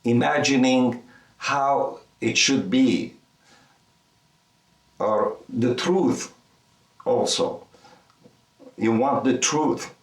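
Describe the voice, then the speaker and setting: Slow, halting older man, roomy sound. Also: medium pitch. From Egypt. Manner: halting, repeats words, thinking aloud. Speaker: artist. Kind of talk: reflective documentary interview. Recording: roomy, mic at a distance.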